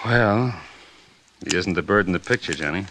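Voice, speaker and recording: An actor playing a steady, clipped, matter-of-fact police sergeant in a 1950s radio drama. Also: male voice, sits low, moderate pace, a little hiss or hum. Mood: low-key.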